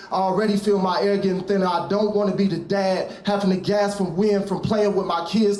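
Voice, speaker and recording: A man's voice: sits high, a little mellow. A man reciting reflective, confessional spoken word poetry as a poet at a live event. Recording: echoey live room.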